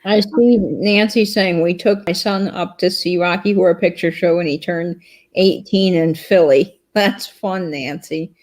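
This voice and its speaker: Easygoing lower-voiced woman co-host. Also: a little slow, darkish tone. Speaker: co-host reading viewer comments. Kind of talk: casual livestream chat.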